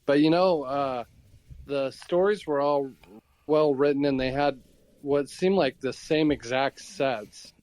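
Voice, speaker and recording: Older man, podcast co-host, average pitch, casual chat, very dry recording. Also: takes it slowly, a little mellow, no room sound, low-quality recording.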